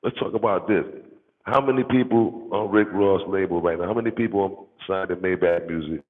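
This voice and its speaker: An American man with a deep, bassy, gravelly voice chats casually in slang, rambling and repeating phrases for emphasis. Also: moderate pace. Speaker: content creator, livestream host. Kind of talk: casual rambling livestream chat. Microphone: microphone with bass turned up. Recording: boomy hall-like reverb, muffled narrow-band sound.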